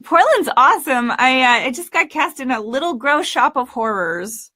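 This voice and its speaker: A woman's voice: sits high, lively intonation, moderate pace, pushed and bright. A woman voice coach hosting a chatty live interview. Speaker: host and voice coach.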